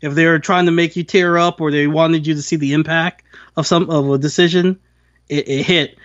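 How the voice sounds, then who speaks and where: A man's voice: a little high, fairly even intonation, a little fast, a bit bright, dry recording, lo-fi sound. A man co-hosting a podcast, giving a casual, opinionated film review and slipping into acted-out quotes. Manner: acts out imagined quotes while talking. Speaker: podcast co-host reviewing films.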